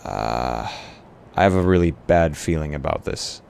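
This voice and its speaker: Low, gravelly, hesitant male roleplayer. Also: lively intonation, darkish tone. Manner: hesitant, pausing mid-sentence. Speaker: roleplay game player. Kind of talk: casual improvised tabletop roleplay. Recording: noisy live stream.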